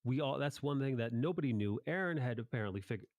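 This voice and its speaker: A man's voice: medium pitch, animated, fast, a little mellow, no echo. A man hosting a casual chat about anime, talking informally in rambling recaps with some swearing. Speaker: podcast host.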